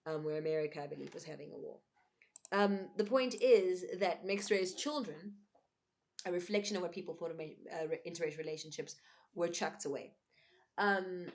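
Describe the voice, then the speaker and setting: A young South African woman, not a native English speaker, giving earnest unscripted commentary to camera, often checking in with "right?" between points. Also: on the low side. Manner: earnest, emphatic, with frequent "um" and "right?". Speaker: vlogger.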